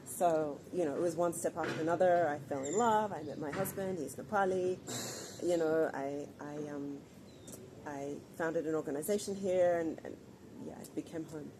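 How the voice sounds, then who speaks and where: A middle-aged woman from Australia answering interview questions in a thoughtful, earnest, measured way. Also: deep voice. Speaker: guest, founder of an organization. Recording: background ambience noise.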